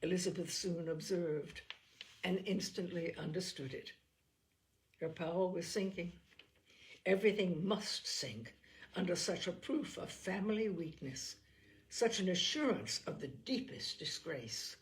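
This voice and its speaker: A woman reading literary fiction as an audiobook narrator, with measured, dramatic phrasing and expressive delivery. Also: on the low side, poor audio.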